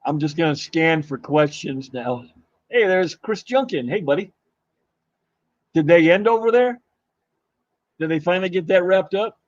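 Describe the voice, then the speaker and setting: Man streaming live, voice going hoarse. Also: on the high side, animated, dry recording, lo-fi sound. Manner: rambling, halting, with many fillers and restarts. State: losing his voice. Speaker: livestream host. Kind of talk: casual live-stream chat, reading viewer comments.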